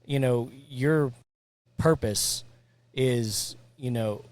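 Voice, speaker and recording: A man's voice: medium pitch, extremely slow, darkish tone, dry recording. A man hosting a casual podcast, encouraging his guest and rambling through his thoughts. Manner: encouraging, rambling, restarts often. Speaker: podcast host. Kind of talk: casual conversation.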